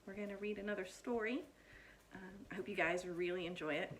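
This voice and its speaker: A woman reading a picture book aloud to children in an expressive, deliberate storytelling manner, like a teacher addressing pupils. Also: medium pitch, a little hiss or hum.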